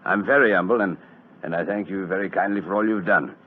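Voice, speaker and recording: An actor in a 1940s radio drama playing a humbled, grateful working-class police sergeant, deferential and halting. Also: male voice, low-pitched, breathy edge. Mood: grateful, humble. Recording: noisy, narrow old radio recording.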